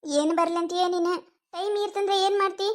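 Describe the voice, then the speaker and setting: A woman's voice: very high-pitched, steady tone, fast talker. A woman with a North Karnataka accent voicing chattering village women in a cartoon comedy. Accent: North Karnataka Kannada. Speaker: cartoon voice artist.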